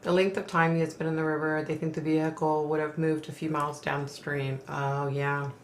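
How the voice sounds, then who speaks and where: A middle-aged woman hosting a casual livestream from home, reading viewer comments aloud and reacting in a chatty, rambling way while her voice goes hoarse. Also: deep voice, flat delivery, fairly quick, roomy sound, slight background noise. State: losing her voice.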